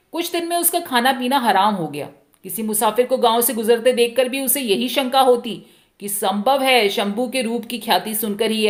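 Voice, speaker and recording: Higher-voiced woman storyteller. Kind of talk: expressive scripted short story narration.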